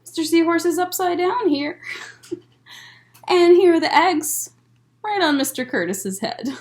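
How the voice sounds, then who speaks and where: A woman hosting story time, reading a picture book aloud to young children in an expressive, deliberate way with short asides. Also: extremely high pitch.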